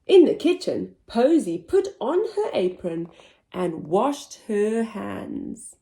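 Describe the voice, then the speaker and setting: A woman hosting a children's story time, reading aloud in an animated, expressive, theatrical way for very young listeners. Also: mid-range voice, takes it slowly, vocal fry. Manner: expressive, theatrical, with gasps and whispers. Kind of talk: animated read-aloud for toddlers.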